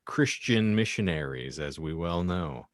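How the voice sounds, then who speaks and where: A man's voice: deep voice, expressive, measured pace, no echo, clean studio sound. A man hosting a podcast with casual comedic banter, wordy and wry in a mock-formal way. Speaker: podcast host.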